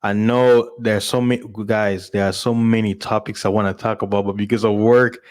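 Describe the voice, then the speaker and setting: A man's voice: on the low side, little pitch movement, fairly quick, a little mellow, clean tone. A man from Congo chatting casually to his listeners as a streamer and podcast host, in an informal way. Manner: informal, chuckles often.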